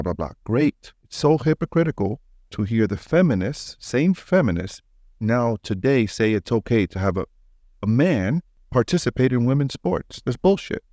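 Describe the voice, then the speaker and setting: A man's voice: fairly low pitch, dark tone, dry recording, studio quality. A man hosting a podcast, chatting casually and bluntly with informal, opinionated phrasing. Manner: blunt, informal, mild swearing. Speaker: podcast host. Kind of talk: casual, opinionated interview chat.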